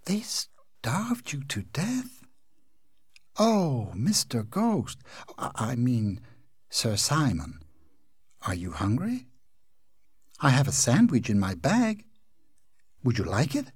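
A man's voice: medium pitch, wildly swooping pitch, slow, pauses often, breathy edge, no room sound, clean studio sound, heavily acted. A man voicing a prim, indignant young American girl who scolds sharply. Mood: pitying.

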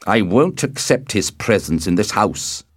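A man voicing a proud, vengeful young nobleman in a storybook, indignant and deliberate. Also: low voice, lively intonation, a little mellow, no echo, clean studio sound, exaggerated voice.

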